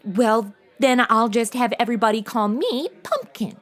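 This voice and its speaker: A woman's voice: a little high, lively intonation, moderate pace, close to an everyday voice. A woman playing a sassy modern young woman in a comedy audio drama, slangy and flippant.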